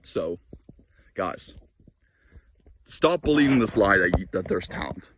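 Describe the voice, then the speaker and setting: A man's voice: deep voice, animated, slow pace, a little hiss or hum. A man whose native languages are Norwegian and Polish, giving a blunt, preachy motivational monologue to camera with frequent restarts. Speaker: fitness and self-improvement vlogger. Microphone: camera mic.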